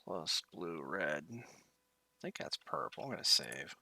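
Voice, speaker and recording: A man's voice: a bass voice, animated, measured pace, gravelly, sharp tone. A man streaming a game tutorial from his bedroom, thinking aloud with many fillers, his voice getting tired.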